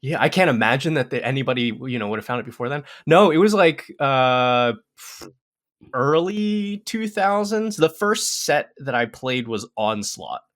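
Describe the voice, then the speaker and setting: A man in his thirties, a podcast guest, talking casually with halting restarts, self-deprecating humor and frequent laughs. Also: mid-range voice, lively intonation, a bit bright. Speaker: podcast guest, content creator. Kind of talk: casual chat.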